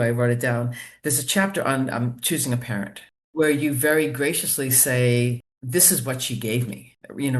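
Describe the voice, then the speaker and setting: A man interviewing an author, asking long, earnest questions with many pauses and restarts. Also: mid-range voice.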